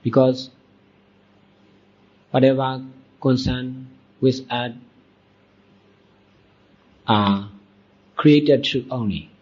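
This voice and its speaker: A man teaching as a Buddhist monk, leading a guided meditation in deliberate short phrases with long pauses. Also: mid-range voice, a little mellow, breathy edge. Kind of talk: guided meditation dhamma talk. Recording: dull, muffled lo-fi recording.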